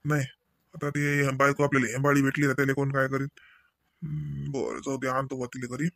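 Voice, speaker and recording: A man's voice: medium pitch, flat delivery, brisk, gravelly, fairly bright tone, no echo, clean studio sound. A man playing an exasperated young husband in a cartoon, grumbling indignantly in a Vidarbha Marathi accent. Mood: resigned grumbling. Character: exasperated young husband venting to his sister-in-law. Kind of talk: cartoon dialogue in rural dialect.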